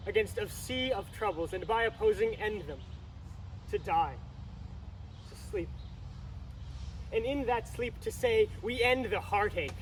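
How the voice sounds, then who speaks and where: Brooding Shakespearean male lead, acted by a young man, high voice, dramatic delivery, faint outdoor noise. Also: poor audio. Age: teenager. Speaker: student actor. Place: outdoor library garden.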